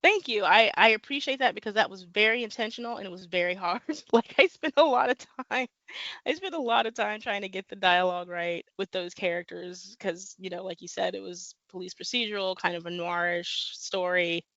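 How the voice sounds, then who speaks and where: A woman's voice: mid-range voice, fairly quick, dry recording, lo-fi sound. A woman author from the American Midwest, chatting casually in an interview and talking animatedly with lots of fillers. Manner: animated, talks with many fillers and laughs. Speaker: guest author.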